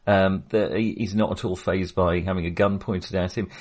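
A man with a fairly low voice speaks as a podcast co-host in a relaxed film discussion. His phrasing is halting and hesitant, as he feels his way through each thought.

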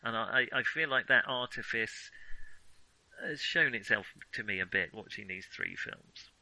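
A man's voice: mid-range voice, lively intonation, normal speed, vocal fry, sharp tone, dry recording, low-quality recording. A man co-hosting a casual film discussion, opinionated but hesitant, often reworking his thought as he goes. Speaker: film podcast co-host.

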